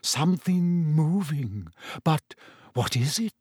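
Breathy theatrical old seer lady, slow and floaty, pitched way up, a guy reading it out. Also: lively intonation, darkish tone, dry recording, clean studio sound, heavily acted. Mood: mystical. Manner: breathy, misty, drawn-out mystical murmur. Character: dreamy, theatrical older female seer and teacher.

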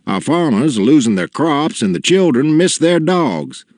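Casting: man to play a small, plucky storybook villager, earnest and persuasive, pitched up and very expressive. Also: moderate pace, dry recording, clean studio sound. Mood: resolute. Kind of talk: storybook character voice.

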